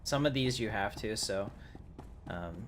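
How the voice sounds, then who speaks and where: A man's voice: on the low side, expressive, a little slow. A man giving casual live commentary as he streams a video game. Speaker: game livestreamer. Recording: noisy livestream with game audio.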